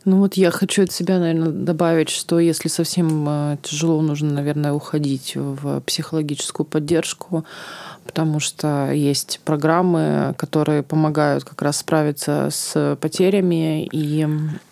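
A woman's voice: sits low, level tone, normal speed, creaky, darkish tone, no echo. Female podcast host, shaky tearful voice, hesitant and heartfelt, dry close-miked sound. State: voice trembling from tears. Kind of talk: podcast conversation and sign-off.

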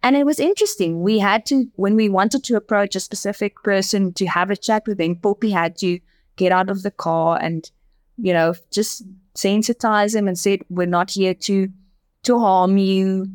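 A woman's voice: medium pitch, normal speed, a little mellow. A woman from Namibia with an Afrikaans accent, talking earnestly in an interview as an agency director, often repeating words as she thinks. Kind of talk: interview conversation.

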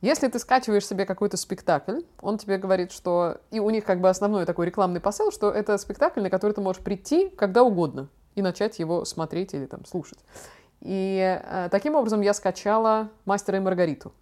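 Young woman podcast host, talks really fast, slangy and chatty, casual storytelling. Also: medium pitch, darkish tone. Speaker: podcast co-host, daughter talking with her father.

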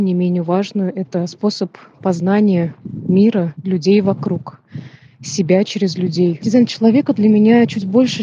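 A woman's voice: medium pitch, warm tone, muffled recording, a little hiss or hum. A woman giving a thoughtful, emphatic testimony in a recorded voice message. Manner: thoughtful, emphatic, stresses key words.

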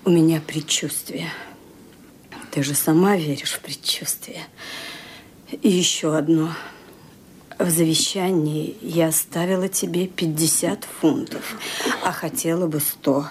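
Old widow lady in a radio play, lowish voice, slow and grave, bossy. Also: fairly even intonation, darkish tone, slight background noise. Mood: urgent, imperious. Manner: grave, deliberate, imperious. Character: frightened, commanding elderly widowed lady of the house. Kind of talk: radio drama acting.

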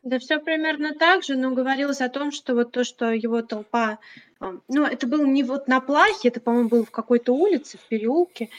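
A woman's voice: high voice, a little fast. A woman on a podcast casually explaining her view of a book and film, phrasing things hesitantly. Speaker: podcast participant.

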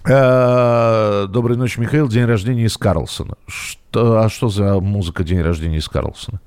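A man's voice: on the low side, normal speed, darkish tone, smooth. Male radio host thinking aloud. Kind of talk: casual live phone-in talk.

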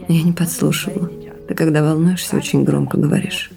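A woman with a soft, dark tone speaks as a practical, supportive wife. Her delivery is intimate and conversational, with gentle teasing, like a radio play with faint music behind it. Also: low-pitched, fairly even intonation, brisk, creaky. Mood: curious, gentle. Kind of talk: intimate conversational audio drama.

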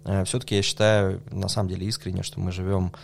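A man talking through his answers in a relaxed podcast interview, pausing with fillers and laughing softly now and then. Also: low-pitched, vocal fry, slight background noise. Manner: thoughtful, hesitant with many fillers, laughs softly. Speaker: podcast guest, coffee judge.

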